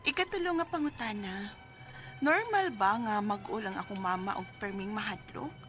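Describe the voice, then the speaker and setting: A woman's voice: mid-range voice, expressive, normal speed, natural voice. A Filipino woman with a Cebuano accent playing a devoted, anxious daughter in an emotional radio drama. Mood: sorrowful recounting. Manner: emotional, pleading. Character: devoted, anxious grown daughter recounting her mother's illness. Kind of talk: radio drama acting and letter narration. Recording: radio drama with background music.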